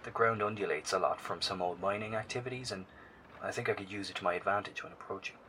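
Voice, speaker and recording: A man's voice: on the low side, fast, pushed and bright. A man acting in an audio drama as a determined, risk-taking scout reporting over a two-way radio. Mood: focused, cautious. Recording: noisy radio link with ambience.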